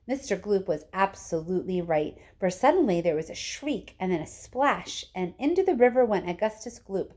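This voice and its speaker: A woman narrating a children's book aloud with expressive storytelling delivery. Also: medium pitch, normal speed, few pauses. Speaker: storybook reader.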